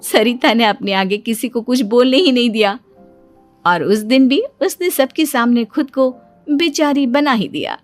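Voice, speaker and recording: A woman giving scripted narration for a Hindi cartoon story in an even storytelling delivery. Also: fairly high pitch, a little slow, soft background music.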